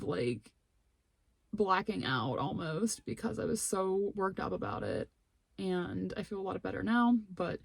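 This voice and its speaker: A woman's voice: mid-range voice, creaky, no echo. A woman bowhunter filming her own hunt, whispering in a breathless, shaky, anxious way, shaken by adrenaline, outdoors in the woods. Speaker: bowhunter vlogger. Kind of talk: whispered hunting vlog commentary.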